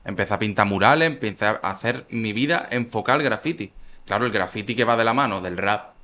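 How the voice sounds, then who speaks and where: A man's voice: on the low side, fast talker, bright, forceful tone, a little hiss or hum. A man in his thirties with an Andalusian Málaga accent, chatting casually and telling stories in slangy, emphatic bursts on a podcast. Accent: Andalusian Spanish (Málaga). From Málaga, Spain. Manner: slangy, emphatic, addresses listeners as bro. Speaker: podcast guest, rapper and streamer. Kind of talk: casual chat, storytelling. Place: small indoor podcast studio. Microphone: podcast microphone on table.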